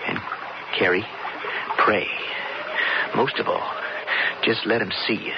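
An actor playing a steady, protective cowboy in a radio western, plain-spoken and folksy. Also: male voice, on the low side, takes it slowly. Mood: reassuring, earnest. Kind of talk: 1970s radio western acting. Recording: muffled, very noisy old radio broadcast.

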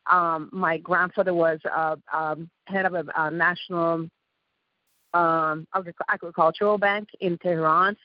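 A woman's voice: on the low side, fairly even intonation, unhurried, no room sound. A woman from Iran with an Iranian accent, a guest telling her story in a rambling way, with a slight lisp from missing front teeth. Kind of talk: casual interview talk. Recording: dull lo-fi call audio.

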